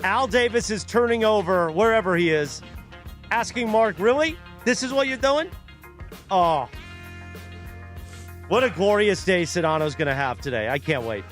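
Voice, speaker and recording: A high-pitched American man co-hosting sports radio, deadpan and wry, as if reading a mock announcement. Faint music under him. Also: moderate pace, long pauses. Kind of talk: casual sports talk.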